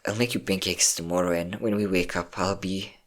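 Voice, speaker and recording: A performer with a low voice playing an insecure, depressive young adult best friend in an intimate, halting audio roleplay monologue. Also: steady tone, lightly acted. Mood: soft, affectionate. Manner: emotional, confiding, halting.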